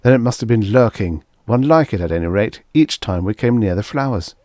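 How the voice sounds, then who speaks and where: A man's voice: lowish voice, animated, fairly quick, warm tone, no echo, natural voice. A male narrator plays a puzzled expedition crewmate in a science fiction story. He exclaims and questions, and the recording is close and very dry. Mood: astonished.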